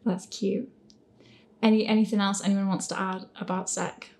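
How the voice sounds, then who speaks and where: Informal woman hosting, mid-pitched voice. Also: flat delivery, moderate pace, a little mellow. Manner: giggly, informal. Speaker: host of a podcast Q&A. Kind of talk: casual group chat.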